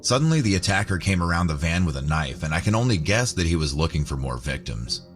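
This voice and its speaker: Very deep male narrator over music. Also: very animated, quick pace. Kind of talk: scripted first-person story narration. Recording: music bed under the voice. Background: background music.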